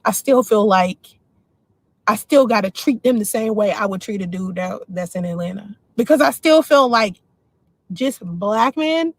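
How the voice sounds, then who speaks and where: A woman's voice: medium pitch, animated, a little slow, dry recording. A woman in her forties from the Washington, D.C. area, hosting a casual livestream and speaking her mind while answering viewer comments. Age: 40s. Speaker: livestream host.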